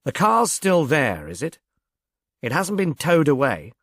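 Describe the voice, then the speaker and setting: A man's voice: on the high side, wildly swooping pitch, unhurried, fairly bright tone, dry recording, clean studio sound, heavily acted. A man playing a clever, complacent professor turned sleuth, clipped and commanding, in a mystery audiobook. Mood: alert. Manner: clipped, commanding, self-satisfied. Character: clever professor, brusque, complacent amateur detective. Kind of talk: audiobook character voice, British mystery novel.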